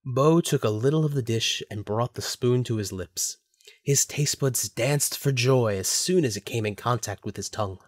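A man's voice: mid-range voice, normal speed, no room sound, clean studio sound. A man narrating a scripted story for an audio drama.

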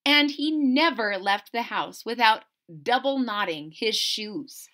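A middle-aged woman reading a picture book aloud to children, expressive and deliberate, pausing to question her listeners. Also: on the high side. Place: small indoor room at home.

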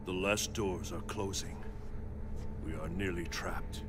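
An actor playing a solemn, pleading thunder god and protector in a video game, with grave, formal speech. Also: male voice, deep voice, fairly even intonation, takes it slowly, background noise. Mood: grave, sorrowful. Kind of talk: dramatic video game voice acting.

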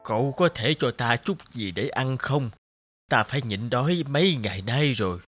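A man's voice: medium pitch, animated, normal speed, fairly bright tone, soft background music, lightly acted. A man voicing a poor, kindly, mysterious old woman in a storybook tale. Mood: kindly, pleading. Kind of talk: storybook narration.

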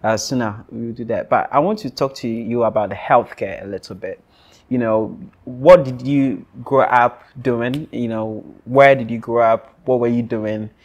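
A man's voice: mid-range voice, normal speed, muffled recording. A man interviewing a guest, asking rambling questions with many fillers. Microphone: lapel mic.

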